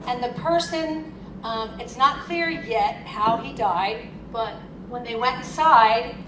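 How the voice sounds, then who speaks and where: A woman's voice: a little high, slow. Philly-accented woman giving a keynote. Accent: Philadelphia. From Philadelphia. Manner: lively, conversational and chatty. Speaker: keynote speaker. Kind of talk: conference keynote talk. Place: conference hall. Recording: echoey hall, distant mic, noisy.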